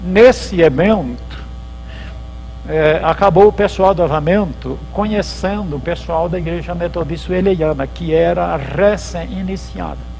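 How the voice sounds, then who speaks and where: A man's voice: high-pitched, measured pace, gravelly, darkish tone, soft background music, rough recording. A man, a pastor giving a church history lecture at a symposium, telling it in a chatty way and acting out the dialogues. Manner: conversational storytelling, acts out dialogues. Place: lecture hall at a theological symposium.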